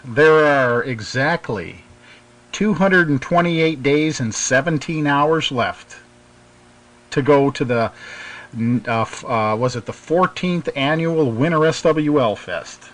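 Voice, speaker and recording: An American man hosting a casual hobby radio chat, speaking in a hesitant, halting way. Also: on the high side, fairly bright tone. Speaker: radio show host.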